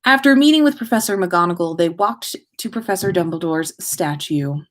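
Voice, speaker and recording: A woman host reading fan fiction aloud in an expressive way, with small stumbles and self-corrections. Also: fairly low pitch, normal speed. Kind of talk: expressive story reading, livestream.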